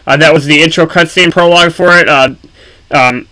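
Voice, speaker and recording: A man giving casual, unscripted gaming commentary for a video, speaking haltingly with frequent ums and pauses. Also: on the high side, pushed and bright, low-quality recording.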